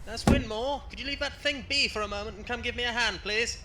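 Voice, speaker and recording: An actor playing a genial, teasing Victorian doctor with a courteous, slightly formal manner, recorded at a distance in a roomy space. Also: male voice, very high voice, fast, very noisy. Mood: requesting. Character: male Victorian hospital doctor, genial, teasing, enthusiastic anatomist. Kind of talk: audio drama acting.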